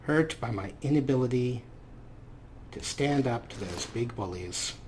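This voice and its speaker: A man's voice: mid-range voice, lively intonation, a little slow, a little mellow, a little hiss or hum. A man giving casual, self-deprecating gameplay commentary as a let's play host.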